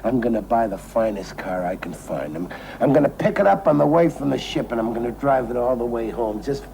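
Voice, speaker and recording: Tipsy American gambler, boastful, sentimental, slow rambling delivery, lively intonation, noisy old TV soundtrack. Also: male voice, medium pitch, gravelly. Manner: rambling, repeating himself with emphatic deliberation. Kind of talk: television drama acting.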